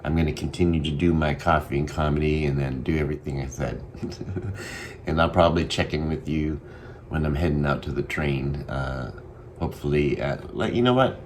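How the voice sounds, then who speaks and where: Man, Boston accent, very low voice, halting casual vlog talk, lots of ums, faint background hum. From Massachusetts. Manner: halting, many ums, soft-spoken and candid. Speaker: vlogger. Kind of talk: casual daily video diary.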